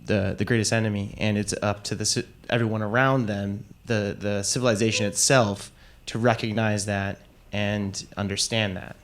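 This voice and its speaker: A man speaking from the audience at a public discussion, reflective and halting. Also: lowish voice, flat delivery. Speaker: audience member.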